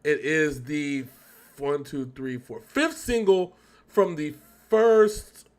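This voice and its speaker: Talkative man with a higher-pitched voice. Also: lively intonation, slow. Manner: rambling, talkative, self-correcting. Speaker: co-host of a music reaction show. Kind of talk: casual reaction chat.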